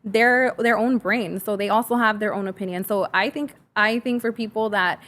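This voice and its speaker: A woman's voice: medium pitch, steady tone, normal speed, fairly bright tone. An American woman who grew up speaking Spanish, talking candidly and with animation as a teacher in a casual interview. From San Fernando area, California. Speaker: high school teacher, podcast guest.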